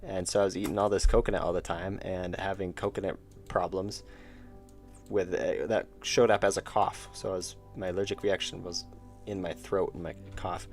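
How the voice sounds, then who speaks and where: A man's voice: sits low, fairly even intonation, moderate pace, darkish tone, faint music behind. A man chatting casually on a live stream while drawing, rambling and thinking aloud with restarts and chuckles, his voice wearing out. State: losing his voice. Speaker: streamer and indie game developer.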